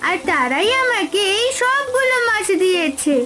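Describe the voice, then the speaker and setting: A woman voicing a sweet, clever young village girl in a cartoon fairy tale, in an expressive childlike manner. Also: very high voice, normal speed, over loud music. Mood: excited, grateful. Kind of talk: animated Bengali fairy-tale cartoon dialogue.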